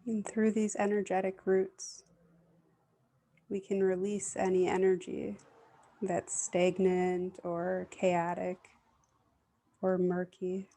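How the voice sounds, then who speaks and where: Meditation guide, female, soothing and even-toned with creaky vocal fry, unhurried delivery. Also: fairly low pitch. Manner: gentle, soothing, unhurried with long pauses.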